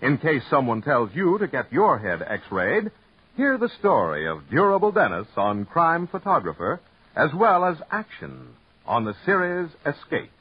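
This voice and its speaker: A man's voice: a little high, very animated, measured pace, slightly rough. A man making station announcements in a polished, promotional announcer style. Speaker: radio announcer. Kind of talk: 1950s network radio announcement. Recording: dull old radio recording.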